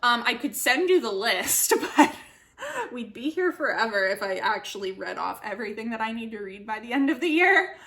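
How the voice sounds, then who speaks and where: Female book vlogger, young adult, bright forward voice, casual and chatty, self-deprecating and giggly. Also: fairly high pitch, lively intonation, moderate pace. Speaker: book vlogger, channel host.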